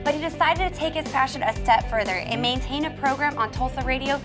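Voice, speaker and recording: A woman's voice: high voice, fast, over music. A woman with an American accent, presenting a scripted local magazine show as its host.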